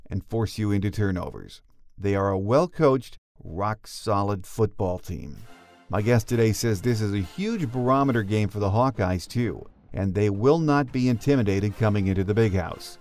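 A man's voice: fairly low pitch, darkish tone, no room sound, clean studio sound. A man hosting a sports podcast, delivering scripted commentary as the show's presenter. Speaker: podcast host.

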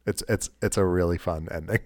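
A man's voice: sits low, fairly even intonation, fairly quick, darkish tone, no echo, clean studio sound. A man co-hosting a podcast in casual, informal conversation. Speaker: podcast co-host. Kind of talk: casual chat.

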